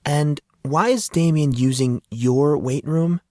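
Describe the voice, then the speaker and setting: Male narrator as composed woman, higher pitch, sweet, playful, a touch indignant, audiobook character. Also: slow, a little mellow, no echo, studio quality.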